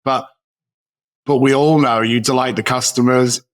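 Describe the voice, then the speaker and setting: A man hosting a podcast interview, asking questions in a relaxed, conversational way. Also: mid-range voice, unhurried. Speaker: podcast host.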